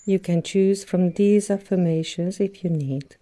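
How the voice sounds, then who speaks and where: Woman, meditation guide, extremely soft dark tone, lowish pitch, gentle, soothing, spacious phrasing. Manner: soothing, gentle, unhurried instruction with long pauses. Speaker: yoga nidra instructor. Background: birdsong and nature sounds.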